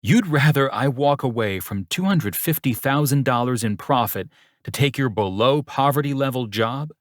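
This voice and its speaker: Audiobook hero: angry younger man, read by a male narrator, low pitch, bitter, proud and sarcastic, dry recording. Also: animated, measured pace, dry recording. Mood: incredulous. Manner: bitter, sweary, defiant. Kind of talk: audiobook character dialogue.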